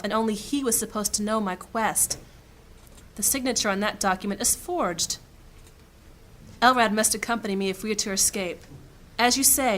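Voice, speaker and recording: A stern, high-ranking mage lady, as an actress plays her in a fantasy audio drama, snapping out orders with cool authority. Her voice is bright and cutting, pitched right in a woman's middle range. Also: a little hiss or hum, close to an everyday voice. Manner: authoritative, clipped commands.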